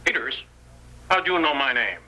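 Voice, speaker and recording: An actor playing a crusty, suspicious old cabin man in a Western radio drama, with a raspy rural Western American accent and folksy, excitable talk. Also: medium pitch, brisk, heavily acted, poor audio. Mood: hostile, scornful.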